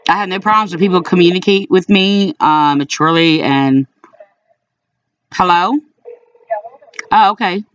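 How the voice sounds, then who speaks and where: A man speaking informally as an aggrieved caller, in a halting, repetitive way, recording complaints about calls. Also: high-pitched, a bit bright, clean tone, no echo, distant mic, highs cut off. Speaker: caller recording own phone calls to a police dispatcher. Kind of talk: informal complaint to camera and on phone.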